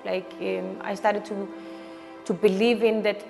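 A young woman with a Danish accent, a circus artist speaking in a reflective personal interview. She talks haltingly and searches for words in English, which is not her first language. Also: mid-range voice, flat delivery, creaky. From Denmark. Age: young adult. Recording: reverberant with background music.

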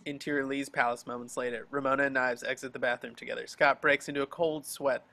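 A man's voice: medium pitch, little pitch movement, quick pace, vocal fry, sharp tone. A man narrating scripted action and scene headings for an amateur table read. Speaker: stage-direction reader. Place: home, group video call.

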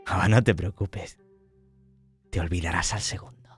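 A man's voice: deep voice, fairly even intonation, measured pace, creaky, faint music behind. A man voicing an ancient, mummy-like old goddess who speaks with cold menace and contempt, partly in a hissing half-whisper. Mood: menacing.

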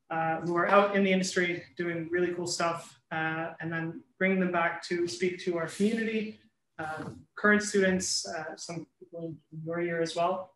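A woman's voice: lowish voice, a bit bright. A woman hosting a campus talk show, welcoming the audience with lots of fillers. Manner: many "uh" fillers, loose. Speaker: event host. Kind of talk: welcoming introduction. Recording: echoey classroom, faint noise.

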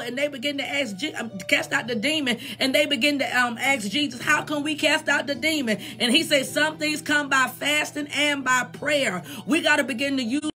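A woman's voice: sits high, sharp tone. An American woman preaching an exhortatory sermon in an emphatic, urging manner with repeated refrains.

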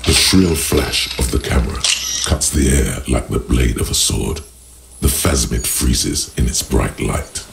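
Gravelly, extremely deep, brooding narrator. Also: male voice, lively intonation, a little slow, a little hiss or hum, exaggerated voice. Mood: hushed, awed. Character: brooding, literary inner-voice narrator of a detective game. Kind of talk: scripted second-person game narration. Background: music.

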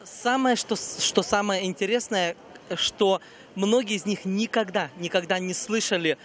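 A man in his thirties, a guest minister in an outdoor street interview, telling stories in an animated way into a handheld mic. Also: sits high, moderate pace. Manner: animated storyteller, acts out quotes. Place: busy pedestrian street outdoors. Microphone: host's handheld mic.

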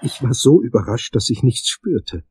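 An actor playing a brooding, infatuated investigator, narrating a crime radio play in a measured, intense manner. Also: male voice, mid-range voice, animated, husky, no echo. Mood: fascinated.

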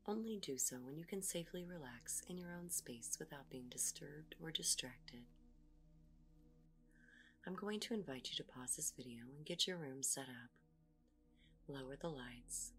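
A woman leads a guided meditation in a hushed, whispery voice. Her pitch sits very low, yet her tone has a clear, bright edge. Her slow, soothing instructions drift over soft music playing faintly behind her.

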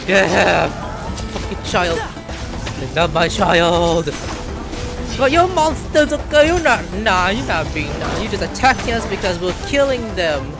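A man giving casual gameplay commentary, excitable and playful, prone to swearing. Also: high voice, creaky. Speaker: gaming streamer. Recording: loud game music behind. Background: loud game music.

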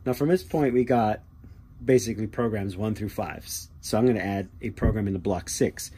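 A man with a low, mellow voice gives a casual hands-on demonstration. He halts and thinks aloud as he goes. There is clear background noise and key clicking in his workshop recording. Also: a little fast. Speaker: hobbyist demonstrating a robot. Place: small cluttered workshop indoors.

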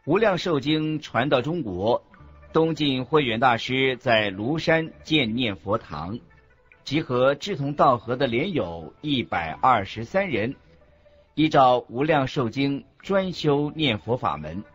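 A man playing a Buddhist monk teacher in a scripted radio lecture, husky, expressive and didactic. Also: mid-range voice, a little slow, exaggerated voice. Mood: earnest recounting. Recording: dull lo-fi recording with faint music.

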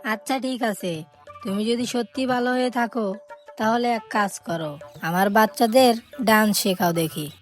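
A woman's voice: fairly high pitch, moderate pace, natural voice. A woman voicing a clever, protective mother hen in a cartoon, knowing and motherly. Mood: shrewd teasing. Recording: music behind the voice.

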